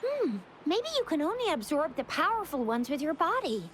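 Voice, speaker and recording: A woman voicing a bubbly, eager young girl adventurer in a video game, with an excitable, chirpy way of talking. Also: high voice, moderate pace, slight background noise. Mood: curious.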